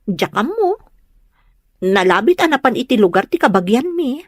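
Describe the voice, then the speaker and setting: A woman playing a gentle, dutiful young married daughter in an audio drama. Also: on the high side, big pitch swings, normal speed, no echo, studio quality. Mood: earnest. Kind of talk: comedy audio drama acting.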